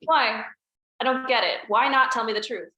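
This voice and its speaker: Woman reading a hurt young woman lashing out, highish voice, echoey room. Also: normal speed, bright, forceful tone. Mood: hurt, accusing. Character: young woman, hurt and confronting her family. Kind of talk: screenplay table read. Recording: roomy echo.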